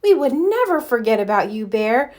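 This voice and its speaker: A woman's voice: on the high side, very animated, moderate pace, a little mellow. Woman as a kindly, lilting storybook mother. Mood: reassuring.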